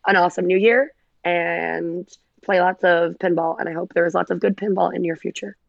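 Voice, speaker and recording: A raspy-voiced woman, a podcast guest chatting casually, slightly hoarse. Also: fairly low pitch, steady tone, moderate pace, no echo, muffled recording. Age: 30s. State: recovering from covid, hoarse. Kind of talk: casual chat.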